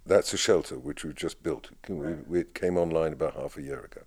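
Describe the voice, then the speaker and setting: A man who leads prayers at his community, answering interview questions in a lively, storytelling way with frequent laughs. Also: very deep voice, brisk, husky.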